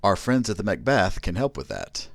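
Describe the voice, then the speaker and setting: Deep, animated theatrical radio announcer man. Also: normal speed, vocal fry, no echo, clean studio sound. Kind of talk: old-fashioned radio announcing.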